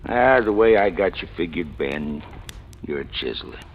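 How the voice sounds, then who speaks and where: Gravelly, low-voiced old-radio hoodlum. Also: male voice, animated, moderate pace. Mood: musing, blunt. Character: talkative, self-deprecating ex-convict stick-up man, cheerfully fatalistic. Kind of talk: 1940s-50s radio crime drama acting. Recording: muffled, hissy old radio recording.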